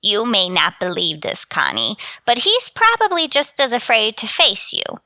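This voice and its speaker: A woman's voice: fairly high pitch, huge pitch swings, moderate pace, bright, forceful tone, no room sound, full character voice. An actress playing a chirpy, scatterbrained, motherly landlady in a scripted radio comedy, in a sing-song, absent-minded manner. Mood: dithery, rambling. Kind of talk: scripted radio sitcom acting.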